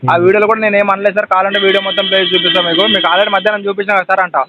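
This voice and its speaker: A man's voice: high voice, level tone, racing, loud music behind the voice. A man with a Telangana accent giving instructions in an informal, insistent phone chat. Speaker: prankster coaching his accomplice. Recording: dull lo-fi sound with faint noise.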